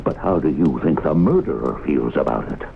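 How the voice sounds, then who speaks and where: An actor playing a persistent, plain-spoken small-town man questioning suspects with folksy, deliberate probing in a 1970s radio drama. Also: extremely low pitch, animated, vocal fry, heavily acted. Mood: insistent. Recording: muffled, noisy 1970s radio broadcast.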